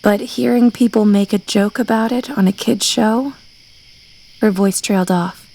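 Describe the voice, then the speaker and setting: A woman's voice: medium pitch, steady tone, a little slow, mellow, low-quality recording. A woman reading a scripted scary story as its narrator.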